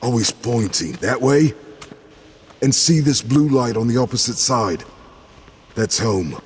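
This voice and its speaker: A man acting in an audio drama as a steady, knowing guide and loyal companion, deliberate and reassuring. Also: mid-range voice, natural voice. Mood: assured. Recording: long reverb, faint ambient noise.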